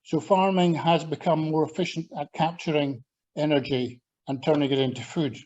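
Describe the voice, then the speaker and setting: A man's voice: on the high side, fairly even intonation, darkish tone, muffled recording. Older Scottish man giving a talk. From Scotland. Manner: measured, thoughtful, with frequent ums and restarts. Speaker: church talk speaker, former agriculture lecturer. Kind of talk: presenting a talk with slides.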